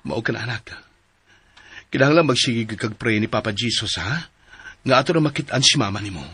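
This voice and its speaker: Very husky, gentle father. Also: mid-range voice, brisk, no echo. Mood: tender. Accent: Filipino. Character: gentle, devout, caring father. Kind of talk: Philippine radio drama acting.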